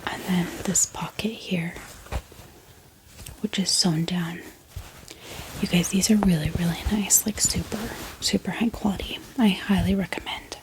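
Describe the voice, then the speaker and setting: A woman speaking in a soft, gentle ASMR whisper, musing aloud in an unhurried way as she hosts. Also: fairly low pitch, fairly even intonation, slight background noise, rough recording. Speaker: ASMR book haul host.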